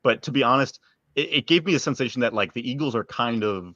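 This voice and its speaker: A man's voice: medium pitch, fast talker, pushed and bright, no room sound, highs cut off. A man co-hosting a sports podcast, chatting casually with emphatic opinions and some swearing.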